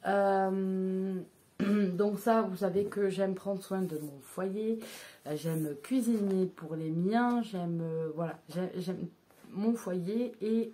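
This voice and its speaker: Woman vlogger, thirties, lowish voice, halting and full of fillers. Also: warm tone. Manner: hesitant, many filler sounds and restarts. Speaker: vlogger reviewing books. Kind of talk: casual chat to camera.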